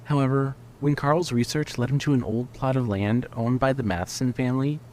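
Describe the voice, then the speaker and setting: A man's voice: medium pitch, steady tone, brisk, darkish tone. A man reading scripted narration as the host and storyteller of a fiction podcast. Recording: faint background noise and ambience.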